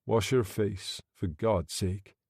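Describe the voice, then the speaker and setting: A man doing a calm, menacing Irishman. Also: on the low side, takes it slowly, gravelly, husky, no echo, studio quality, heavily acted. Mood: quiet menace. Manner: soft, conversational, quietly menacing.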